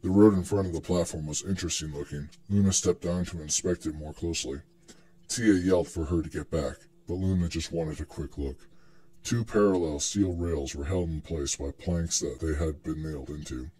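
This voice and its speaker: Male narrator for scripted fiction, low register with a husky grain. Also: moderate pace, warm tone.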